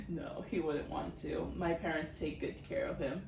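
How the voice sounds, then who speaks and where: A woman's voice: sits low, steady tone, unhurried, vocal fry. A woman in her twenties chatting casually as a vlogger at home, rambling, filling her talk with "like" and laughing often. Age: 20s. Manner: rambling, giggly, lots of "like". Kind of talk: casual chat. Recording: roomy, distant mic, background noise.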